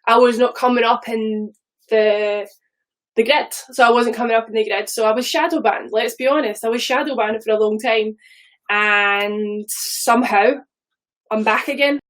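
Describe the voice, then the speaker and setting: A woman's voice: a little high, fairly even intonation, moderate pace, fairly bright tone. A woman in her thirties with a Scottish accent, chatting casually and rambling on a live stream, swearing now and then. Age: 30s.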